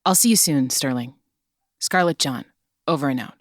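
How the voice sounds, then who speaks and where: A woman's voice: extremely low pitch, normal speed, no echo, clean studio sound. A woman playing a blunt, defiant, combative office rebel in an audio drama. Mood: weary, resolute.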